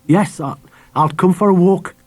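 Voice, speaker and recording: A man's voice: high-pitched, unhurried, a little mellow, no echo, voice pushed up. A man voicing a polite, tired young boy who gives short, hesitant replies in a storybook.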